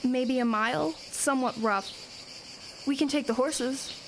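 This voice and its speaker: A woman playing a plucky teenage girl in an audio drama, matter-of-fact, earnest and brisk. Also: high voice, a little hiss or hum.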